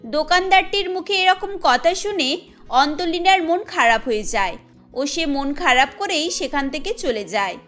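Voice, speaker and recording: Very high-voiced female story narrator. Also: expressive, a little slow, a bit bright, faint music behind. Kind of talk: scripted cartoon story narration.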